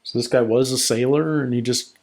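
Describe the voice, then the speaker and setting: A man's voice: mid-range voice, fairly even intonation, brisk, a little mellow, soft background music. A man hosting a reaction video, casually thinking aloud with short asides and questions as he comments on a TV episode. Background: TV show soundtrack.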